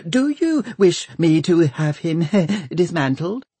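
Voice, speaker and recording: Man as twittery woman doctor, raised pitch, bouncy intonation, giggly, gushing, audiobook character. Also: very animated, slow pace, dark tone, husky, no room sound, full character voice. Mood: giddy, proud. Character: giddy, fawning female doctor, gleefully proud scientist.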